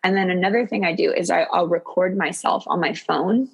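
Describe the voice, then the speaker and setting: A woman's voice: fairly low pitch, gravelly, husky. A woman speaking as a coach and podcast guest, casual and confiding, often stopping to correct herself. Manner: halting, self-correcting, confiding. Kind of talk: casual, reflective podcast chat.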